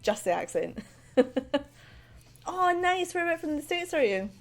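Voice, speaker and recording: A woman's voice: extremely high pitch, sing-song, measured pace. Rambling Scottish woman streaming from her desk. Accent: Scottish, central belt. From Scotland. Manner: rambling and chatty, with many fillers and laughs. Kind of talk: casual livestream chat while drawing. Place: home desk. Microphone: desk microphone. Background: faint background music.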